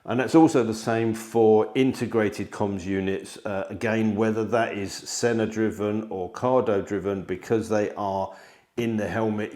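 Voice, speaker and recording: A man's voice: lowish voice, a little mellow. A middle-aged man explaining a product to camera in a conversational way, recorded indoors in a studio on a close mic. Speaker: product presenter. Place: indoor studio, seated at a table.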